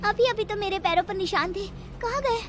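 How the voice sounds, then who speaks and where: A woman's voice: very high-pitched, a little fast, over music, voice pushed up. A woman voicing a timid, superstitious young woman, fretful and whining, in a horror story. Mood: frightened. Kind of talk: animated horror story character acting.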